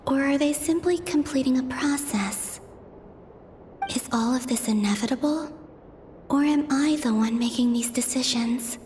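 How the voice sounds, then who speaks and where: A woman voicing a soft-spoken, pensive young moon goddess in a game, with a breathy, hushed and measured delivery. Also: sits high, in a large hall, a little hiss or hum. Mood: pensive, questioning. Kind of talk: dubbed game cutscene inner monologue.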